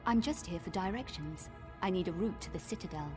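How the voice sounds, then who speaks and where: A woman playing a determined young female adventurer in a video game, brisk and unflustered. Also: lowish voice, lightly acted. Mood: cool, determined. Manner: brisk, matter-of-fact, unflustered. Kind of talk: video game cutscene acting. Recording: music behind the voice.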